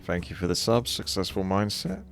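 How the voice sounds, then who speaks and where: A man's voice: sits low, sing-song, normal speed, gravelly, soft background music. A man giving casual live gameplay commentary as a streamer, thinking aloud as he plays. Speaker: game streamer.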